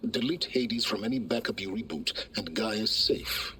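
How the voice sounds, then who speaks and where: An actor playing an arrogant, secretive older scholar in a video game cutscene, speaking in a measured, smug, condescending way. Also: male voice, on the low side, sharp tone, slight background noise. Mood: commanding, secretive.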